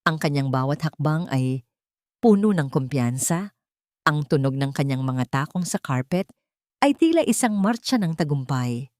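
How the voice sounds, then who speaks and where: A woman's voice: sits low, animated, moderate pace, darkish tone, no echo, clean studio sound. A woman with a Filipino accent reading a scripted dramatic story as its narrator.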